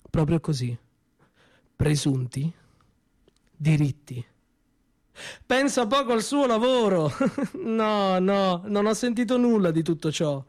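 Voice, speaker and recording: A man acting a male office secretary who ironically denies seeing anything, delivering a theatrical monologue with mock-innocent denials and measured pauses. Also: high-pitched. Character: male office secretary feigning ignorance, sly. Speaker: stage actor.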